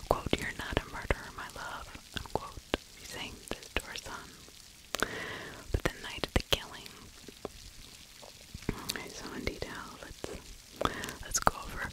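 Middle aged lady whispering a true crime asmr story right up on the mic, kinda halting. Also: rough recording. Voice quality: breathy whisper. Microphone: close-up desktop microphone with fluffy windscreen.

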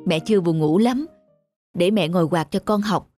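A woman voicing a gentle, caring elderly village mother in a cartoon. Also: on the low side, normal speed, faint music behind, natural voice. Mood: tender. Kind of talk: cartoon dubbing.

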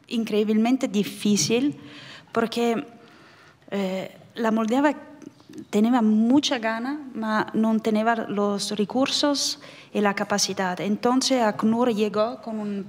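A middle-aged woman with an Italian accent, speaking non-native Spanish as a humanitarian aid worker on a panel, halting and searching for words. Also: fairly high pitch. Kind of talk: panel discussion. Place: conference hall, indoors. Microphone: desk microphone. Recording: reverberant conference hall.

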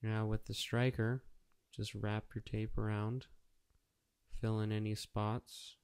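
A man's voice: on the low side, level tone, slow pace, darkish tone, clean tone. A man narrating a casual step-by-step how-to tutorial in a plain, practical, matter-of-fact way. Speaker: auto painter giving a how-to tutorial.

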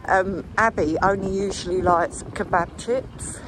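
Middle-aged British woman, chatty walking-tour host addressing viewers, recorded outdoors with clear ambient noise. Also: mid-range voice, measured pace. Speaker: vlog host. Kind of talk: casual walking-tour chat. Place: outdoors, walking along a marina promenade. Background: outdoor seafront ambience.

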